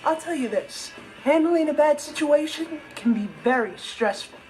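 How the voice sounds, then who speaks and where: A man's voice: extremely high pitch, lively intonation, normal speed, heavy background noise. A young man with an American accent playing a dramatic, easily flustered teenage stepbrother, theatrical and emphatic in a sitcom monologue. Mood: indignant, dramatic. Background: loud music.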